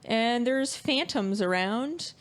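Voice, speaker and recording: A woman's voice: a little high, lively intonation, takes it slowly. Woman chatting critically about film. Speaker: podcast co-host. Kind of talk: casual film review chat.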